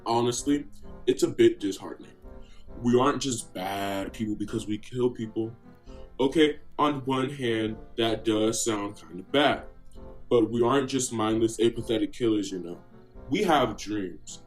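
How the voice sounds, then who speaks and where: A man's voice: medium pitch, a little slow, faint music behind, close to an everyday voice. A man playing an indignant, self-justifying hired assassin in a comic radio play, earnest and complaining. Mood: wounded, earnest.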